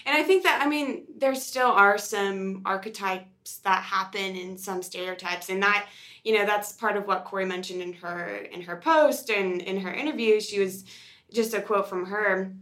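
A woman's voice: mid-range voice, moderate pace, a bit bright. A woman co-hosting a podcast in casual conversation, chatty and upbeat, often repeating words for emphasis. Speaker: podcast co-host.

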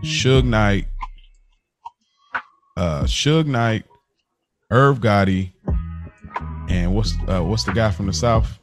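A man's voice: on the low side, slow, pauses often, faint music behind. An American man co-hosting a podcast in casual, slangy chat, often circling back and rephrasing as he goes. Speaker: podcast co-host.

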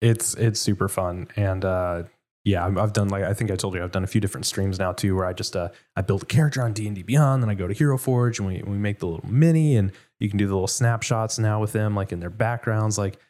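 A man's voice: lowish voice, fast, a little mellow. A man from Houston hosting a casual interview, rambling with lots of fillers. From Houston, Texas. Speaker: host.

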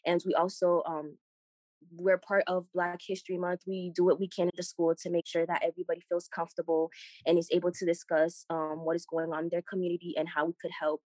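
A young woman shares her own experience in a conversational interview. Her voice sits a little low, close and dry, and she comes across as earnest. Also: level tone, brisk, darkish tone, dry recording, rough recording. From born in Haiti, grew up in Boston. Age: late teens, young adult. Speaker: scholarship recipient, podcast guest. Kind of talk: personal account in a casual interview.

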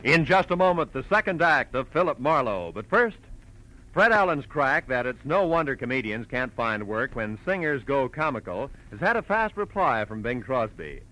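A man's voice: mid-range voice, big pitch swings, moderate pace, bright, forceful tone, low-quality recording. A man with an American accent announcing in polished 1940s network radio style.

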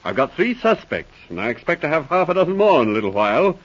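An actor playing a plain-spoken, bemused town marshal with a folksy manner, in 1940s radio drama style. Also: male voice, fairly high pitch, big pitch swings, fast talker. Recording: muffled old radio recording.

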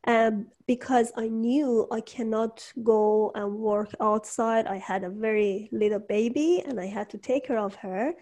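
A woman speaking English as her second language, chatting casually about starting her show, animated and laughing often. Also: a little high, unhurried, few pauses. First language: not English. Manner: animated, laughs often, acts out quoted voices. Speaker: podcast host and entrepreneur. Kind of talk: casual conversation.

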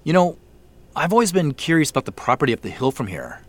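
A man's voice: fairly high pitch, huge pitch swings, quick pace, a little hiss or hum. An actor playing a respectful but probing man who is used to being in charge, in a naturalistic audio drama. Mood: curious.

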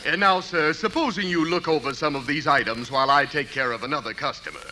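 An American man playing a wisecracking store clerk in a 1940s radio comedy, deadpan and cheeky. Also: a little high, fast talker, lightly acted. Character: wisecracking male sporting goods clerk, brazenly cheeky. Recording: very noisy vintage radio broadcast.

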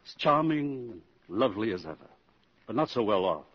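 An actor playing a genteel, wistful British ambassador in a radio drama, courtly and gently wry. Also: male voice, on the low side, very animated, normal speed. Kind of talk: 1950s radio drama acting. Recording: muffled old radio broadcast recording.

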